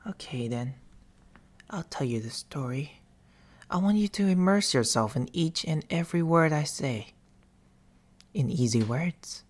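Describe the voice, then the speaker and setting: Man acting as a quiet eerie demon, very slow, half-whispered, coaxing, close-up ASMR roleplay, patient and theatrical. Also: fairly high pitch, animated. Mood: soothing, patient. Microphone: close binaural microphone.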